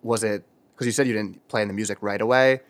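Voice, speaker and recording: A man hosting a music-industry podcast, his voice a little on the low side. He fires off questions at a brisk clip, eager and chatty. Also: steady tone. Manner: enthusiastic, quick questions. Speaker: podcast host. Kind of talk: casual interview chat.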